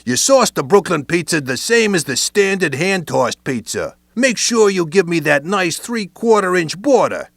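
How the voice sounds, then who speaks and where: A man's voice: sits high, expressive, normal speed, creaky, few pauses, fairly bright tone, no echo, clean studio sound. A man narrating a training video in playful wiseguy slang. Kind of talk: scripted instructional narration.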